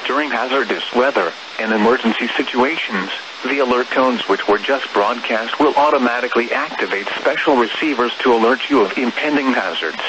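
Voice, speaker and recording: A man's voice: medium pitch, lo-fi sound. Noisy radio announcement by a formal man. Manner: formal, measured, impersonal. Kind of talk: scripted emergency alert announcement. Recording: noisy weather radio broadcast.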